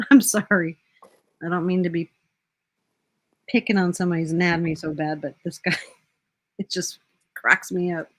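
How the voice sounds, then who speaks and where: A woman's voice: on the low side, fairly even intonation, a little slow, pauses often, no echo. A woman hosting a casual live stream, blunt and swearing, laughing as she teases. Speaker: stream host.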